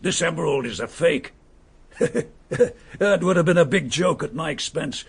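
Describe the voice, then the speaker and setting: An actor playing a bitter, gloating murderer and impostor in a radio drama, sneering and rough-voiced. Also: male voice, a little high, normal speed, exaggerated voice. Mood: gloating, rueful. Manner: sneering, swinging from chuckles to hushed menace.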